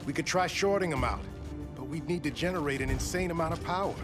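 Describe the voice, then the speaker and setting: An actor playing a brainy, problem-solving tech hero in a cinematic video game, earnest and analytical. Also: male voice, on the high side, quick pace, loud music behind the voice, close to an everyday voice. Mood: focused.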